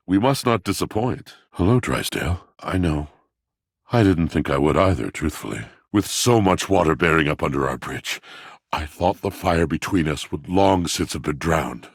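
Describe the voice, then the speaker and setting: Very deep, gravelly poetic brooder. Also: male voice, dry recording, studio quality, exaggerated voice. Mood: wry, courtly, then tender, adoring. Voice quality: gravelly, husky. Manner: florid, grandiose, poetic diction. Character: brooding, melodramatic poetic male washing machine, lovelorn. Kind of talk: theatrical video game character acting.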